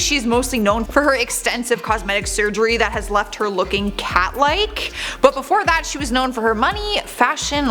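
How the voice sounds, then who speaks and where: A young woman with a fairly high voice hosts a celebrity countdown, mixing scripted reading with chatty, candid asides. Light music plays faintly underneath. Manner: chatty, candid asides, lively swoops in pitch. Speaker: host.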